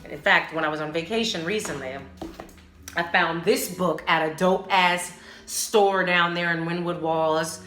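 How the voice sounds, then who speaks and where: Female podcast host, lowish voice, casual and emphatic, slangy and sweary, music behind her. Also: steady tone, unhurried, fairly bright tone. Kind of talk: casual solo podcast talk. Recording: roomy echo, music behind the voice.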